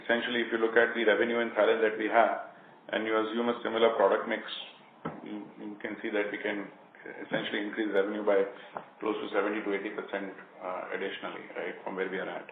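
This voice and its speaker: A man, speaking in a measured, hedging, businesslike way as an executive answering questions on an earnings call. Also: on the low side, little pitch movement. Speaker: company executive answering analysts. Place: conference call. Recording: phone-like line, roomy echo, faint noise.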